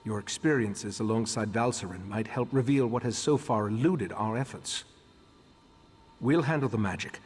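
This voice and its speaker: An actor playing a solemn, scholarly master of a magical order, speaking formally and deliberately in a fantasy game. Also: male voice, fairly low pitch, darkish tone, slightly rough. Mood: grave, purposeful. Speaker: quest-giving leader of a magical order. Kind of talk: scripted fantasy game dialogue.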